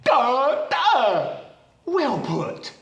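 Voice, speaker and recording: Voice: high voice, sing-song, drawn-out words, a bit bright, lo-fi sound, heavily acted. An actor playing an exasperated, sardonic English professor in a web series, speaking in an emphatic, theatrical and sarcastic way. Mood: sarcastic, fed up. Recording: roomy distant mic, music behind.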